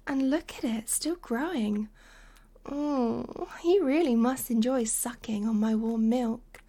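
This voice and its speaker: A woman playing a doting, seductive lover in an intimate adult audio roleplay, breathy and coaxing, often slipping into whispers. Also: on the high side, takes it slowly, mellow. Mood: tender, teasing.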